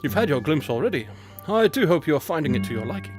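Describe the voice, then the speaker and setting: A man playing a mysterious, authoritative divine guide in a biblical radio drama, speaking in formal, measured pronouncements. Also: a little high, big pitch swings, soft background music. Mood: calm, enigmatic.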